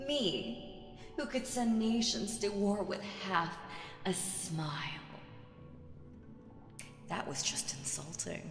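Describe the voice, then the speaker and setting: A woman playing a seductive, imperious demon queen in an audio roleplay, teasing and condescending, with drawn-out phrasing and breathy asides. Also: mid-range voice, animated. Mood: possessive, wistful. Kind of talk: slow roleplay audio drama monologue with whispers. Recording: echoey reverberant hall with music behind.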